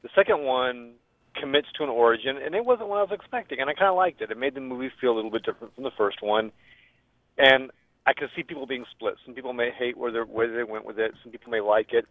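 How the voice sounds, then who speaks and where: A man's voice: medium pitch, quick pace, no echo. A man leaving a casual spoken review as a caller over the telephone. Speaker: caller giving a film review. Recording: dull, dry, phone-line voicemail.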